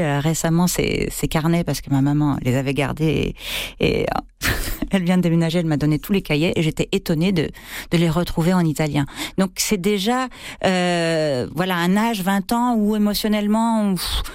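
A woman's voice: lowish voice, a little fast, a little mellow, no room sound. Hesitant French woman, dry studio sound. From France. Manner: hesitant, many fillers and restarts. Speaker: actress and theatre co-director. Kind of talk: radio interview answers.

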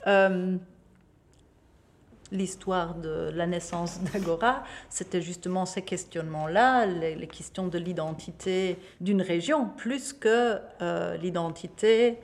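A German-speaking Belgian woman, a native German speaker, answering interview questions in a lively, amused way. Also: lowish voice, slow.

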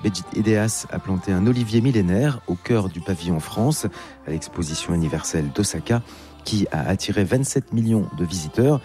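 A man's voice: sits low, expressive, moderate pace, warm tone, soft background music. A male radio host leading an interview and framing his questions in a conversational, filler-heavy way.

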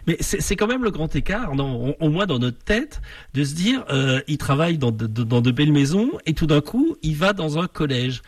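A man's voice: fairly high pitch, sing-song, normal speed, breathy edge, no echo, recorded from a distance. A man hosting a radio magazine and interviewing a guest, hesitating often and restarting his sentences. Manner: hesitant, many fillers and restarts.

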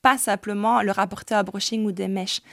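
A woman in her forties, a hairdresser, talking earnestly as a radio guest. Her mid-pitched voice has a gravelly edge and she often pauses to hesitate. The sound is close and dry. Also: steady tone, a bit bright, no room sound. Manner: earnest, hesitant with many "euh". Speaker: hairdresser and salon director.

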